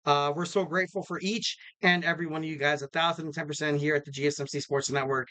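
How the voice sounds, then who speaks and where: Upbeat, bright-voiced podcast host man. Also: fairly high pitch, steady tone, quick pace, dry recording. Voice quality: slightly raspy. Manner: rambling, filler-heavy, upbeat promo talk. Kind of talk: casual solo wrestling preview.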